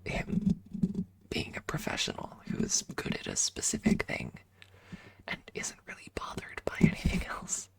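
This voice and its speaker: A woman ASMR streamer speaking in a breathy up-close whisper, softly and deliberately telling casual stories to viewers right at the microphone. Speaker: ASMR livestreamer.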